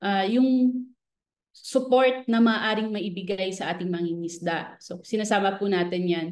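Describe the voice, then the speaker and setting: A woman's voice: medium pitch, fairly even intonation, measured pace, a little mellow. A woman with a Filipino accent speaking as an expert guest in a radio interview, politely explaining and mixing Filipino with English.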